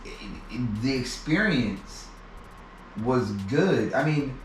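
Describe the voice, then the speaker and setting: A man as an interview guest, telling a personal story in a halting way with many restarts and repeated words. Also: medium pitch, expressive, a little hiss or hum. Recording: echoey room, mic at a distance.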